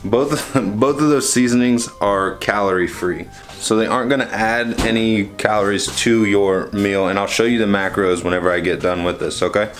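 A man's voice: lowish voice, moderate pace, soft background music. A young man chatting casually through a cooking how-to, rambling and correcting himself as he films with a handheld camera in a small home kitchen. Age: young adult. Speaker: vlogger. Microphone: handheld camera at arm's length.

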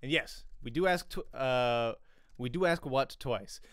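A man's voice: medium pitch, a little slow, fairly bright tone, no echo. A man in his thirties giving a casual, chatty acting lesson into a close studio microphone, repeating key questions for emphasis. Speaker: acting teacher and voice actor. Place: small home room, indoors. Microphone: close studio microphone with pop filter.

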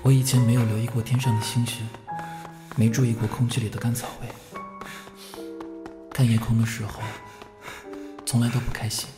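A man acts as a guarded, weary young male student in a radio play. His voice sits at a middle pitch in a roomy space, with music clearly behind it. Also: flat delivery, moderate pace, long pauses, lightly acted. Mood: melancholy. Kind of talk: Chinese audio drama acting, inner monologue and dialogue. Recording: roomy sound under loud music.

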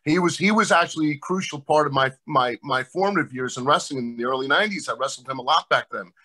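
A man's voice: mid-range voice, quick pace, bright, forceful tone. A middle-aged man, a co-host and former wrestler, chatting laid-back on a video call and often tacking on "man". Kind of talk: casual chat on a video call.

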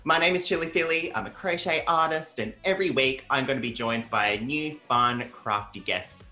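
Aussie guy hosting a craft chat, upbeat, lots of whoops, bright voice, echoey room. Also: mid-range voice. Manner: upbeat, chatty, quick with occasional whoops. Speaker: host. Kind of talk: casual recap chat. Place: bright craft-decorated studio set. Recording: roomy studio with faint music.

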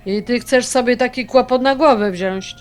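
A woman's voice: on the high side, normal speed, soft background music, close to an everyday voice. A woman playing a stubborn, possessive elderly grandmother in an audio drama, blunt, folksy and grumbling. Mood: skeptical.

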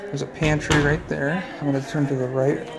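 Man, gravelly, matter-of-fact house walkthrough, phone mic in an empty house. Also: on the high side, level tone, normal speed, a crowd in the background, low-quality recording. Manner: matter-of-fact, pointing out rooms as he walks. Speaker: house tour guide. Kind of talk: casual walkthrough narration. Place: large unfinished house, indoors. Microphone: handheld phone camera.

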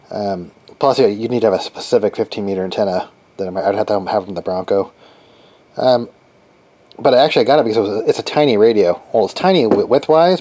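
A man giving a casual, unscripted hands-on demo as a hobby repair channel host, haltingly thinking aloud and repeating phrases. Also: on the low side. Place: cluttered garage workshop with open door. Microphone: handheld camera microphone. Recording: noisy handheld camera in a garage. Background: ambient noise.

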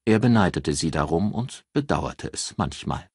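A man giving scripted audiobook narration of a novel in a measured, careful storytelling manner. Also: deep voice, lively intonation, darkish tone, slightly rough, no room sound, studio quality.

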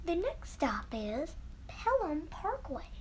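Very high-pitched woman announcing subway stops. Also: very animated, takes it slowly, slight background noise. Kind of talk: scripted transit announcement.

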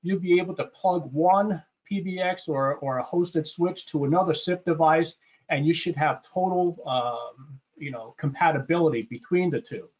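A man gives an informal slide presentation as a sales presenter. His voice sits a little high for a male speaker. He explains things conversationally, circling back over phrases, and the webinar audio is somewhat dull and muffled. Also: moderate pace, breathy edge.

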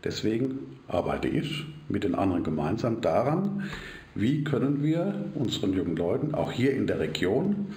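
Middle-aged man, school principal, lower voice, animated, roomy office sound. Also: moderate pace. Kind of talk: interview answer. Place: his office.

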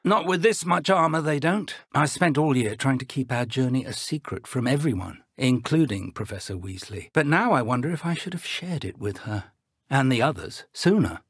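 Husky elderly British wizard professor. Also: male voice, medium pitch, animated, a bit bright, dry recording, studio quality. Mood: urgent, then grave, reflective. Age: older. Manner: measured, formal, refined phrasing. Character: kindly, earnest elderly wizard professor and mentor. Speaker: game character voice actor. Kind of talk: video game dialogue acting.

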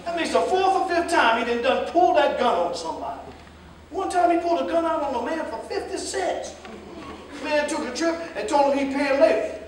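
A man acting an older, fatherly American jitney driver in a staged play reading, with a folksy, emphatic, lecturing way of talking. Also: very high-pitched. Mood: worked up. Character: older railroad pensioner jitney driver, fatherly, plain-spoken adviser. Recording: distant mic, echoey hall, faint noise.

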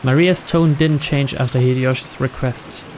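A man's voice: medium pitch, steady tone, moderate pace, darkish tone, a little hiss or hum. A man reading visual novel narration aloud in a storytelling style. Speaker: narrator, let's player.

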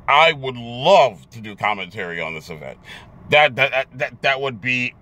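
Casting: American man, thirties, medium-pitched voice, casual YouTube commentary to camera, halting, emphatic delivery with repeated words. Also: animated, sharp tone. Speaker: YouTube vlogger. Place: inside a car in daylight. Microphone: phone or camera at arm's length.

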